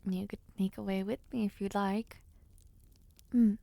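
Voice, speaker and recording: A woman voicing a playful, affectionate young noblewoman knight in an audio roleplay, speaking softly and teasingly and often dropping into whispers. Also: mid-range voice, little pitch movement, measured pace, vocal fry, dry recording. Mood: chatty, teasing. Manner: soft, teasing and intimate, drops to whispers.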